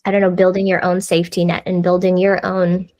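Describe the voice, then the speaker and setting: A woman's voice: on the low side, completely flat delivery, a little fast, a little mellow, no room sound. Chatty woman guest. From United States. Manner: chatty, lots of fillers like "like" and "you know". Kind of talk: casual conversational interview.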